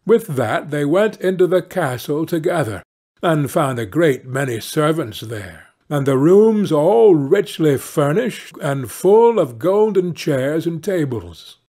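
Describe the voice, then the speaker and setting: A man narrating a fairy-tale audiobook in a measured, expressive storytelling manner. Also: on the high side.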